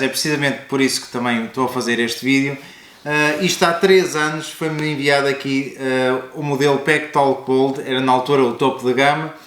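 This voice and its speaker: A man's voice: on the high side, a bit bright. Echoey European Portuguese man, casual reviewer. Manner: informal, explanatory, addresses the viewer directly. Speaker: product review video host. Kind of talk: casual product unboxing and review talk to camera. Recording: echoey, roomy indoor sound.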